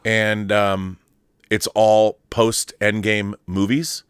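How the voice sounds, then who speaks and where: Dry, low-voiced male show host, rambling. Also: little pitch movement, very slow, clear, resonant tone, no echo. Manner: rambling, with frequent restarts and chuckles. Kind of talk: casual livestream chat.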